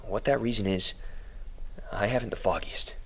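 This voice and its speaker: An actor playing an anxious captive man who thinks aloud haltingly in an audio drama monologue. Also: on the low side, gravelly, fairly bright tone. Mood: puzzled, uneasy. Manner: halting, musing aloud, self-questioning. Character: anxious captive man exploring an underground maze. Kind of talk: audio diary monologue in fiction podcast drama. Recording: noisy ambient background.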